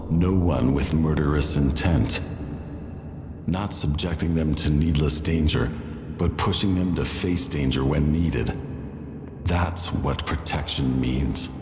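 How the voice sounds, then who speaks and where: A man playing a grave, brooding army general, speaking in a solemn, deliberate, theatrical way. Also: very deep voice. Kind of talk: slow theatrical stage monologue. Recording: echoey hall, long reverb, faint music.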